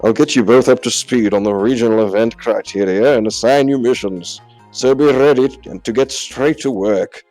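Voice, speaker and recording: A man's voice: mid-range voice, moderate pace, a little mellow, soft background music, voice pushed up, close to an everyday voice, poor audio. A man voicing a peppy, businesslike female guild leader from a video game, announcing as he reads aloud. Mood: upbeat, brisk.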